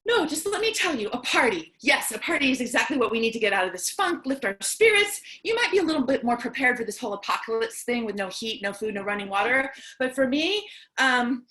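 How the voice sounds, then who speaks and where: A lonely, chatty survivor of the apocalypse, played by a woman in a comic stage play. She is excitable and theatrical, with a high voice that swings widely in pitch. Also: a little fast. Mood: excited, chatty. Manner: theatrical, chatty, swings between outbursts and cheer.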